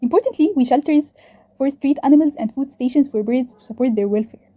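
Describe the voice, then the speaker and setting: High, fast, husky young woman. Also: warm tone, dull audio. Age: 20s. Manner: earnest, reads from a script. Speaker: student presenter. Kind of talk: scripted presentation.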